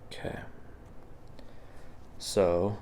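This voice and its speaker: A man's voice: very slow, dark tone, in a whisper. A man, sick and a bit sniffly, teaching in a casual, encouraging way as he walks through practice problems in a video lecture. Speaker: physics teacher.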